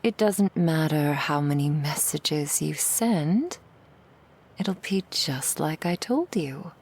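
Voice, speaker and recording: A woman voicing a seductive, cruel female demon in an ASMR roleplay, in a slow, breathy whisper full of mocking, intimate taunts. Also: low voice, expressive, a little mellow, exaggerated voice. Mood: cruel taunting.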